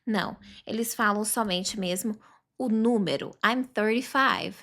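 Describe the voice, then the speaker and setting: A woman in her thirties teaching a chatty online English lesson, often checking in with the learner and slowly repeating phrases for practice. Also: medium pitch, a bit bright.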